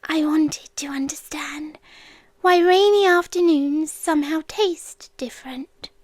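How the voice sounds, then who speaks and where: A British woman voicing an ancient, lonely, devoted creature in a soft, whispery, hesitant ASMR roleplay, close to the mic. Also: high voice, no room sound. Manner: soft, slow, hesitant, gentle. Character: ancient lonely female creature, tender, devoted, shyly protective. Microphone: close microphone, dry.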